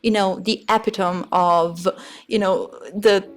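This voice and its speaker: A woman with an Italian accent talks through a game build in a loose, rambling voice-over, pausing often to hunt for the right English word. Her voice sits at a middle pitch. Soft music plays faintly beneath her. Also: steady tone. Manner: rambling, hesitant, searching for words. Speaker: Sims speed build creator. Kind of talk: casual voice-over commentary. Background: soft background music.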